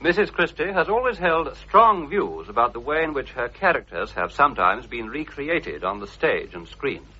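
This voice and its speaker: A man's voice: medium pitch, sing-song, moderate pace, few pauses, pushed and bright, breathy edge. A man presenting a scripted radio documentary. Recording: dull vintage radio recording.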